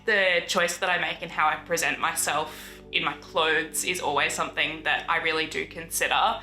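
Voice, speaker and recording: A person with a woman's voice speaking thoughtfully in a documentary interview, measured and often saying "you know". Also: low voice, fairly even intonation, bright, forceful tone. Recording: echoey room with music behind.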